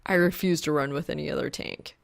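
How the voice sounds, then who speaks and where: Woman voicing muttering, exasperated young man gamer, creaky. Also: deep voice, a little fast, no echo, pitched below the performer's own voice. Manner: muttering, wheezy, deadpan.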